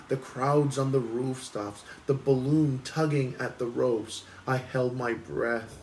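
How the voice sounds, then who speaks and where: A man's voice: mid-range voice, darkish tone, poor audio. A man hosting a book club, reading aloud expressively at home in a storytelling style.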